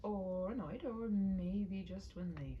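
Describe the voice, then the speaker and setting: A woman's voice: mid-range voice, slow pace, phone-quality sound, slight background noise, close to an everyday voice. A woman voicing a mild, thoughtful, optimistic shopkeeper wife who speaks hesitantly in a comic story. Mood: puzzled, thoughtful.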